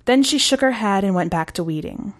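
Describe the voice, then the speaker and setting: A woman's voice: medium pitch, lively intonation, brisk. A woman reading a first-person short story aloud in a conversational, wry way. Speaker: story narrator.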